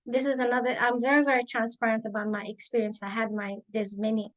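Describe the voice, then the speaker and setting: A woman in her thirties, raised in the Emirates, telling her career story in an interview, thoughtful and pausing with many ums. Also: on the high side, flat delivery, fairly bright tone. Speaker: guest, biomedical scientist and author. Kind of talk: interview, reflective personal story.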